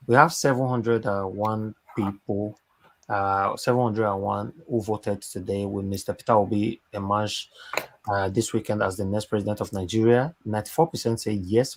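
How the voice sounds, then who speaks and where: A man with a fairly low voice hosts a live online panel. He moderates the speakers and thanks viewers by name, one after another. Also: husky. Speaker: host.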